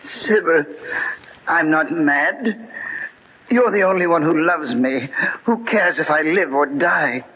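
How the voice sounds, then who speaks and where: A man's voice: fairly high pitch, slow, long pauses, breathy edge. An actor playing a desperate, committed father, pleading and anguished, in melodramatic old radio style. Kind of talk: 1940s melodramatic radio acting. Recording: muffled old radio recording, faint noise.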